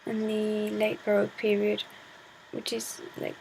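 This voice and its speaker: Shy teenage girl from Chile, native Spanish speaker, with a creaky, monotone delivery. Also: mid-range voice, a little slow, slight background noise, rough recording. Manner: hesitant, shy, searching for words. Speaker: vlogger. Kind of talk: casual talk to camera.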